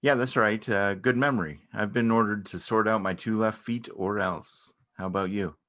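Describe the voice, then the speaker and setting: A man acting a low-voiced, gentle, awkward man. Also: steady tone, moderate pace, close to an everyday voice. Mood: awkward, friendly. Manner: hesitant, repeats himself, understated. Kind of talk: dramatic reading of a stage play dialogue.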